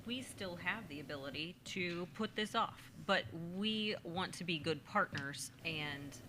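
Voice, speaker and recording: A woman council member speaking in a measured, conciliatory way with some fillers, in a council chamber. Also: on the low side, pushed and bright. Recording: noisy meeting room.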